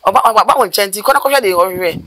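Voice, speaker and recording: Middle-aged Ghanaian woman, mortuary worker; rapid, animated storyteller, recorded outdoors on camera. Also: mid-range voice, a little hiss or hum. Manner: animated, gesturing with her hands, rapid bursts of speech. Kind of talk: storytelling interview. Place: outdoors by a building wall.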